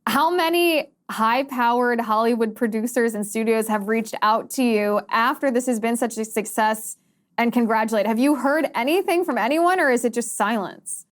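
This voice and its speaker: A woman's voice: a little high, moderate pace. A woman with an American accent hosting an interview, asking questions in a conversational style. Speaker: interview host.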